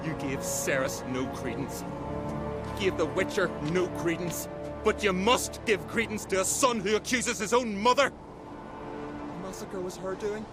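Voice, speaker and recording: An actor playing an anguished young noble son in a fantasy game, speaking in an emotional, declamatory way. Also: male voice, sits high, measured pace, music in the background. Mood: bitter, ashamed. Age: young adult. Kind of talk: dramatic fantasy game acting. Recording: dramatic score behind dialogue.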